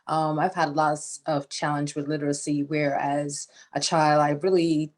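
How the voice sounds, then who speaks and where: A woman from Guyana with a notably low voice, talking through unscripted panel remarks. She hesitates often, filling pauses and restarting her sentences as she goes. The sound comes through a video call. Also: flat delivery, a little mellow. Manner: hesitant, many fillers and restarts. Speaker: nonprofit founder speaking on a panel.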